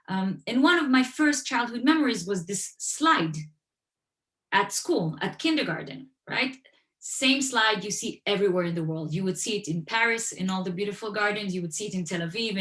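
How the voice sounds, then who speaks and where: A woman's voice: mid-range voice, a bit bright. A woman who grew up in Western Europe, speaking as an author and guest at an online event, telling stories and explaining with everyday examples. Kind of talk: conversational talk at an online event. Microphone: video call. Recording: echoey room.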